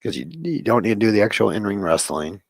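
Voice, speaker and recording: Male co-host, low gravelly voice, opinionated wrestling chatter, jokey. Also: sing-song, no room sound. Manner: opinionated, quick jokey quips. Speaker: podcast co-host. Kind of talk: casual wrestling chat.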